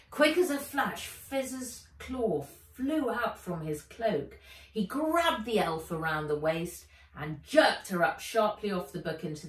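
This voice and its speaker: A woman author reading her children's adventure book aloud with dramatic, deliberate storybook narration. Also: medium pitch. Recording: roomy echo, a little far from mic.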